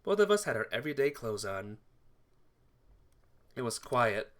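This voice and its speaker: A man's voice: medium pitch, sing-song, moderate pace, a bit bright. A man reading first-person visual novel narration aloud for a playthrough, stumbling over words and rereading them.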